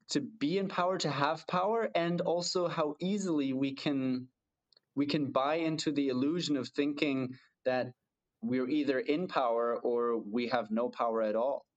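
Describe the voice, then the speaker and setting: A man's voice: mid-range voice, highs cut off. A man from Germany for whom English is a second language, co-hosting a podcast in a thoughtful way with frequent restarts. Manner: thoughtful, hesitant restarts, self-deprecating laughs. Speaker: podcast co-host. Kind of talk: casual podcast chat.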